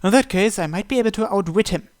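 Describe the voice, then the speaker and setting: A man reading a visual novel aloud in an expressive, dramatic first-person narration with occasional stumbles. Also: sits high, fast, dry recording, clean studio sound.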